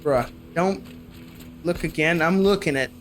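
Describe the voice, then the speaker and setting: A man's voice: on the high side, a little slow, a little hiss or hum. A male streamer giving casual gameplay commentary and joking back and forth with his chat.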